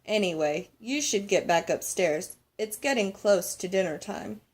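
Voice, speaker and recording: A woman voicing a weary, kindly older man in a children's storybook, telling his tale in a grave, measured way. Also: medium pitch, lively intonation, slightly rough. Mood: resigned. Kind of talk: children's storybook read-aloud.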